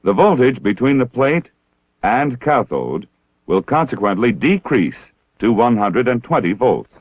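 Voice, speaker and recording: An American man narrating a scripted training film in a formal, measured, precisely enunciated way. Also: medium pitch, sing-song, dry recording. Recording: dull 1960s film soundtrack.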